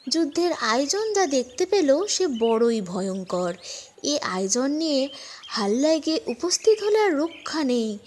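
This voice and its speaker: Slow-paced woman storyteller, higher voice. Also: very animated, darkish tone, slight background noise. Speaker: story narrator. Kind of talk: scripted audiobook narration of a children's folk tale.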